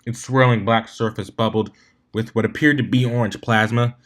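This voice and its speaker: A man's voice: mid-range voice, fairly even intonation, normal speed. Male story narrator, mid-range voice, reading from a script with occasional stumbles and retakes. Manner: stumbles over words and rereads them. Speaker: fan fiction reader, narrator.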